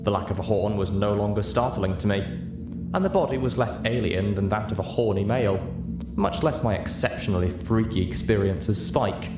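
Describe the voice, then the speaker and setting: A man's voice: fairly low pitch, fairly quick. A man reading a scripted story aloud as an audiobook narrator, in a roomy recording. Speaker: audiobook reader. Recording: roomy echo, music behind. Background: music.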